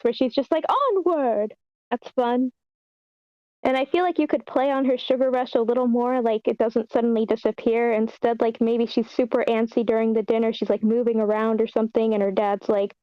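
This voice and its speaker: Woman, fairly high voice, close and dry sound, informal and animated feedback-giver in an online meeting. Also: no room sound, highs cut off. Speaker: script feedback participant at an online table read. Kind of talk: casual feedback chat.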